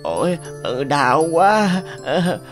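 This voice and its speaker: A man's voice: fairly high pitch, very animated, extremely slow, heavily acted. A man voicing a greedy, cunning male tanuki in a cartoon, who turns whiny when caught. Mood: pleading. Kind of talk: cartoon voice acting. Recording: faint music behind.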